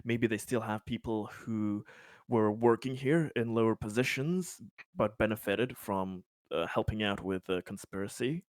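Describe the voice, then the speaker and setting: A man improvising as a player in a tabletop roleplaying game, halting and hesitant, often stammering over repeated words. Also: on the low side, dry recording.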